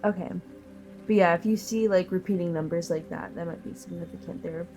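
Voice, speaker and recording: A woman's voice: on the low side, steady tone, a little fast, music in the background. A woman giving a collective tarot reading while sick, sounding nasal and sniffly, talking in a thoughtful, meandering way with many fillers. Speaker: tarot reader.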